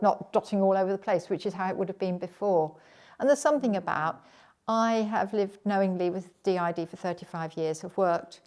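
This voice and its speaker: A middle-aged woman with a fairly low voice for a woman talks about her lived experience. She speaks earnestly and personally, and she often breaks off and restarts her phrases. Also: a little mellow. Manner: earnest, halting, with false starts. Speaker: interviewee with lived experience of dissociative identity disorder. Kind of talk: personal interview talk.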